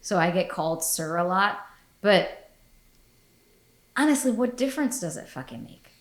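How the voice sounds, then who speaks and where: A woman's voice: on the low side, lively intonation, normal speed. A woman hosting a podcast in casual chat, blunt and profane, laughing often. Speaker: podcast host. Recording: echoey room.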